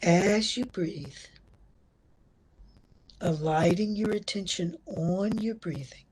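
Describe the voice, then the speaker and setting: A woman leading a guided meditation as instructor, speaking gently in short, measured phrases with a breathy, husky tone. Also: medium pitch, poor audio.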